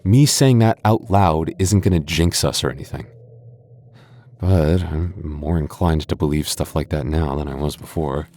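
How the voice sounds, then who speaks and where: A man's voice: rumbling low, moderate pace, mellow. A man voicing a boyfriend in an ASMR roleplay, stammering through restarts and teasing playfully.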